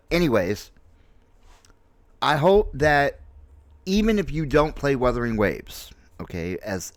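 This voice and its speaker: A man in his late forties talks off the cuff as a gaming host, with a mid-range voice. He speaks haltingly but with emphasis, often repeating a phrase to drive it home. The sound is dry and close to the microphone. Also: medium pitch, no echo. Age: late 40s. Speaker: gaming video host and streamer. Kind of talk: casual unscripted opinion commentary on a game story.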